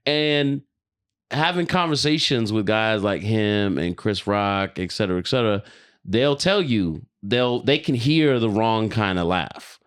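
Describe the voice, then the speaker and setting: A man's voice: on the low side, expressive, measured pace, clean tone. A man co-hosting a podcast, chatting casually and giving his opinions in slangy talk peppered with swearing. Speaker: podcast co-host.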